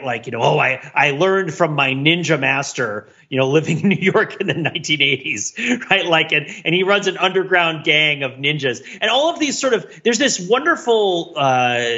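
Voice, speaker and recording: Male podcast panelist, sharp and bright, discursive and intellectual, on a dull lo-fi track. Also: fairly high pitch. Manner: discursive, emphatic, intellectual vocabulary. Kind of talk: casual analytical discussion.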